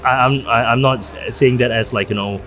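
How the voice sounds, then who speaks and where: A man's voice: medium pitch, fairly even intonation, a little fast, bright, forceful tone. A man hosting a podcast interview at a trade event, talking in a hesitant way with many fillers and restarts. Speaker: podcast host. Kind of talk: conversational interview.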